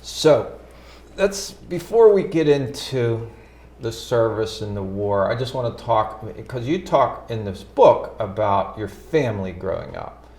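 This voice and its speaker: A man's voice: mid-range voice, big pitch swings, measured pace, darkish tone. A man hosting a local TV interview, asking friendly conversational questions. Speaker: TV interview host. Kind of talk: casual interview.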